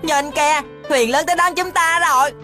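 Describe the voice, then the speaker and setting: Cheeky little kid in a cartoon, high bright voice, southern vietnamese accent. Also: female voice, normal speed, soft background music. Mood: carefree, eager. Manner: playful, refers to himself by name. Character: mischievous, carefree little boy of about five.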